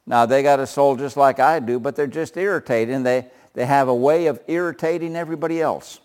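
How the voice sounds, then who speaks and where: A man's voice: mid-range voice, brisk. Chuckling man leading a Bible study. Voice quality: loud voice. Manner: chuckles often, asks the group questions. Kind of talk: group Bible study discussion.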